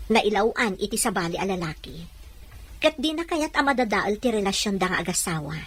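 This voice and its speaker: A woman's voice: mid-range voice, fairly bright tone, husky. A woman playing an earnest wife in an Ilocano audio drama. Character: Amanda, earnest wife who takes in a baby. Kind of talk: Ilocano radio-style drama acting.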